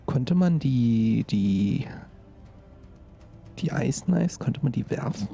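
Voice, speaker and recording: Mellow, gravelly man over background music. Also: mid-range voice, animated, slow pace. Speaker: tabletop game player. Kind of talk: casual game chat.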